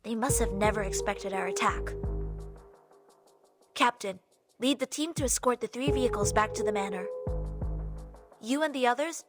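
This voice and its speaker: A woman playing a composed, authoritative squad leader in a video game, giving crisp delivery. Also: on the high side, normal speed, long pauses, close to an everyday voice. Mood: composed command. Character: brisk, commanding female squad leader. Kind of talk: scripted video game voice acting. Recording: loud background music.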